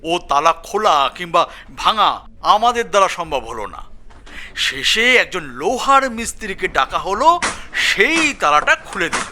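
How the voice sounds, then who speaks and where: An actor playing an excitable police constable dramatically recounting a grisly discovery in an audio story. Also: male voice, sits high, unhurried, slight background noise, exaggerated voice. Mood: earnest recounting.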